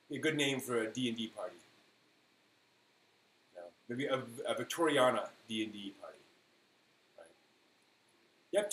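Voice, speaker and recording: A man hosting a casual live stream, replying to viewers in a halting way that often trails off mid-thought. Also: medium pitch. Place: indoor room at home with printer running. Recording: roomy echo, faint buzzing 3D printer noise. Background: faint buzz of a 3D printer.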